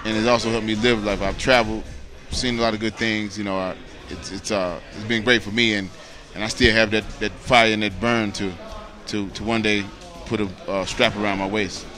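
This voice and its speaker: American guy late 30s, lowish voice, boxer being interviewed, rambles a bit, says you know a lot. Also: level tone, moderate pace, music in the background. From United States. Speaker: professional boxer, interview guest. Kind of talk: casual interview chat.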